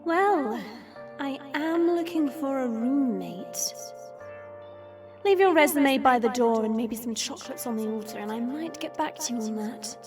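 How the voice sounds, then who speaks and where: A woman's voice: high voice, animated, measured pace, music in the background. A woman playing a sassy, teasing vampire with a sarcastic, playful drawl in an audio roleplay. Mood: coy, taunting. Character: hidden female vampire, sassy, teasing, unafraid. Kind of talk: audio roleplay drama acting.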